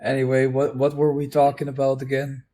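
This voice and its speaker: A man's voice: mid-range voice, monotone, fairly quick, mellow. A man in a casual unscripted online chat, speaking hesitantly and repeating his words as he goes. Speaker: video co-host.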